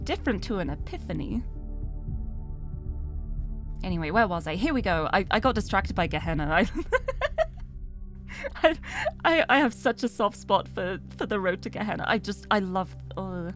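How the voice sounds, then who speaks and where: Chatty British woman streamer, lively pitch. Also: normal speed, pauses often, faint music behind. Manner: chatty, self-deprecating, rambling. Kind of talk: casual chat while gaming live.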